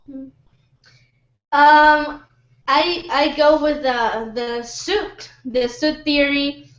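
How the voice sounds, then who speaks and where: A woman giving her view in a casual group chat, halting and repeating phrases as she goes. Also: sits high, fairly even intonation, fairly bright tone. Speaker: discussion panelist. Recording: roomy, dull lo-fi audio.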